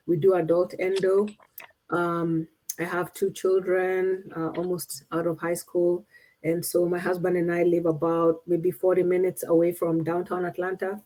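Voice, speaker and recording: Mellow-voiced woman from Kenya on a video call. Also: on the low side, low-quality recording. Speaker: panelist, endocrinologist. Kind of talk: video call panel introduction.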